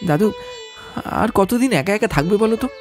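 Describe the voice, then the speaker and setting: A man's voice: high voice, lively intonation, normal speed, a little mellow, faint music behind, pitched above the performer's own voice. A man voicing an affectionate young grandson in an audio story, earnest and pleading in his delivery. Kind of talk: Bengali audio story acting.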